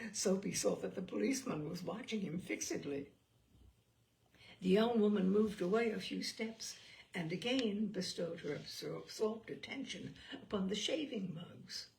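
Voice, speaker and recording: Female storyteller for classic fiction. Slow, theatrical delivery with a gravelly edge. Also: medium pitch. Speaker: story reader. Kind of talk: expressive audiobook narration of classic short fiction.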